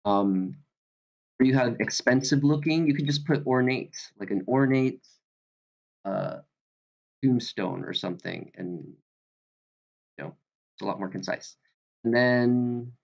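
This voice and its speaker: A man giving casual, halting critique as a reader, thinking aloud informally over an online call. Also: medium pitch, gravelly, a little mellow, dull audio. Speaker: reader giving script feedback. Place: online video meeting.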